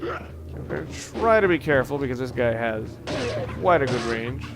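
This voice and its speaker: A man giving casual, halting gameplay commentary as a let's play host, rambling and thinking aloud as he plays. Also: mid-range voice, sing-song, creaky, music in the background.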